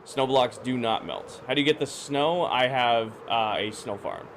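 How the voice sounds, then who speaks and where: A man streaming a game, his voice slightly hoarse and drowsy, casually greeting viewers and answering chat questions. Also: mid-range voice, normal speed, fairly bright tone, slight background noise. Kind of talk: casual live-stream chat while playing.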